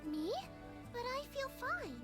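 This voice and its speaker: A woman's voice: very high voice, big pitch swings, slow pace, full character voice. A woman voicing a cheerful, eager young girl in a video game, with music behind her. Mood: eager pleading. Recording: game audio with music underneath.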